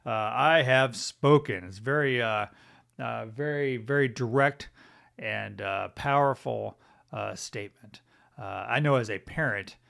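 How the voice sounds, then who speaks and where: A man's voice: on the low side, creaky, a bit bright. A middle-aged man hosting a Bible teaching podcast, talking conversationally and thinking aloud with many fillers and "right?" tag questions.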